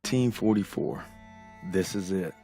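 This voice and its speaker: An actor playing a tough, folksy American army sergeant narrating war game briefings, with an American accent and a gruff, commanding manner. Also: male voice, sits low, measured pace, warm tone, faint music behind. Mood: resolute, urgent.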